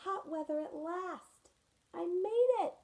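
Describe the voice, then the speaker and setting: A woman's voice: very high voice, takes it slowly, darkish tone, voice pushed up, heavily acted. A woman voicing an adventurous young male penguin who hates the cold, in a children's picture book read-aloud. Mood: cheerful. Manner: cheerful, determined storybook delivery.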